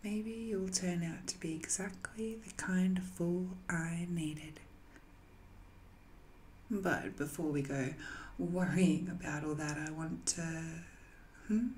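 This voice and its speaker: A woman playing an ancient banished evil spirit in an intimate audio drama, seductive, lonely and sly, with a coy, breathy delivery that often slips toward a whisper. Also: lowish voice, takes it slowly, a little mellow, a little hiss or hum. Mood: sly, teasing.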